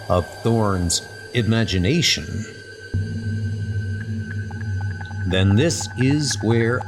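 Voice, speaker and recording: A man playing a skeptical, self-doubting paranormal investigator, brooding and deliberate, in a dramatic comic book inner monologue. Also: on the low side, background noise, heavily acted. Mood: wary.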